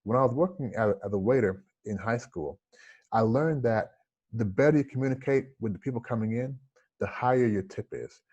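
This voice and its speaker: A man's voice: mid-range voice, a little fast, darkish tone, slightly rough, muffled recording. Earnest man with a slight lisp. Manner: earnest, reflective, gestures with hands. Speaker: interview guest, entrepreneur. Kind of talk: conversational interview storytelling.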